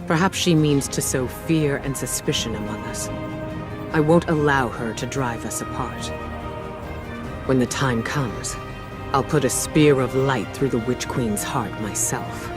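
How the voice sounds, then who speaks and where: A man plays a resolute military leader from a video game. He is stern and authoritative, speaking at middle pitch in measured sentences, with music playing behind him. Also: natural voice. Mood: grave, determined. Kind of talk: scripted game cutscene monologue.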